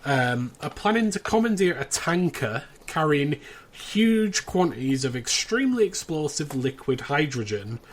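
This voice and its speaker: A man's voice: fairly high pitch, big pitch swings, a little slow, slight background noise. A British man hosting a podcast, casually recapping a plot in a chatty way with wry asides. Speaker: podcast host.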